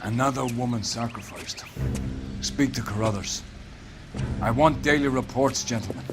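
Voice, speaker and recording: A man's voice: mid-range voice, moderate pace, close to an everyday voice. Male actor for a pompous police chief, theatrical 1940s crime game, commanding and sermonizing, middle register. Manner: pompous, oratorical, preachy. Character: pompous male police captain, older authority, grandiose. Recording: music behind the voice.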